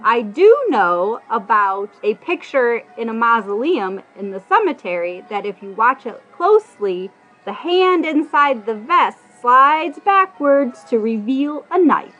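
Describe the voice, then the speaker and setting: A woman with an American accent answers as a casual podcast guest. Her voice is high and swings up and down a lot, on slightly dull audio with music behind. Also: sing-song, unhurried, a bit bright. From Ohio, United States.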